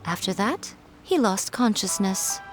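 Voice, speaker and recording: A woman's voice: medium pitch, very animated, measured pace, faint music behind. A woman voicing a sly, confident fox shrine priestess in a video game, with an amused, teasing way of talking.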